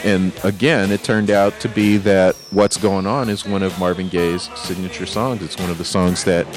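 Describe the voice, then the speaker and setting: A man with a lowish voice hosts a radio music show in a relaxed, chatty way. He laughs easily and trades banter with his co-host. Faint music plays behind him. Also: normal speed. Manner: laid-back, chatty, laughs often.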